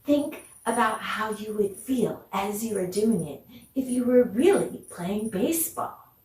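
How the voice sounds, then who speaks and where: Slow-paced woman teacher in an echoey room. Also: medium pitch, expressive, a little mellow. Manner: encouraging. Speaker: dance teacher. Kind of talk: children's online arts lesson, addressing viewers. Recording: echoey room, distant mic, faint noise.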